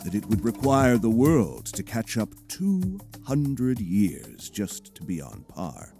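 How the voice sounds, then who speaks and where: A man's voice: on the low side, huge pitch swings, takes it slowly, gravelly, exaggerated voice. A man narrating an audio drama in a dramatic, scripted style. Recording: music under the voice. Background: cinematic music.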